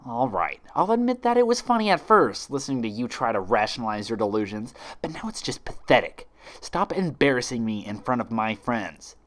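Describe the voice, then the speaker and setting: A scornful unicorn mare from a cartoon fan story, acted out by a man. She is smug and condescending, with a swinging pitch. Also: big pitch swings, brisk, close to an everyday voice.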